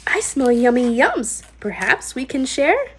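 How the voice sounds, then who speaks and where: Woman playing a friendly badger, cheerful, inviting, high swooping voice, picture-book story. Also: big pitch swings, unhurried, husky, exaggerated voice. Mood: eager, friendly.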